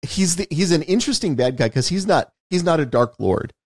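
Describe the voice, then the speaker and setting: A man's voice: on the high side, expressive, fairly quick, breathy edge, dry recording. Emphatic man in casual film chat, dry sound. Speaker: podcast co-host discussing films.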